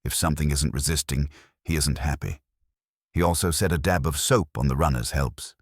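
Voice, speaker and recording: A man's voice: very deep voice, moderate pace, breathy edge, dry recording, full character voice. A man voicing a weary, grumbling older male caretaker in an audio story, dry, clipped and grudging. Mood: dry, sardonic.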